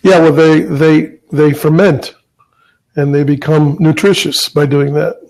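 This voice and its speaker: Man co-host, somewhat high voice, wry and easygoing. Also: little pitch movement, unhurried, darkish tone, rough recording. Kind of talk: casual live video chat.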